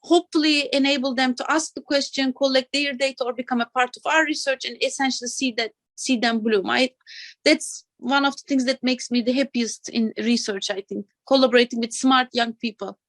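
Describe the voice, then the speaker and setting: A woman from Turkey in her thirties talks freely as an interview guest. Her voice sits high. She checks in with "right?" as she goes, and the recording is dry and close. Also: steady tone, a little fast, dry recording. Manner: chatty, adds "right?" and soft laughs. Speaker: assistant professor, guest. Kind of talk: casual interview talk.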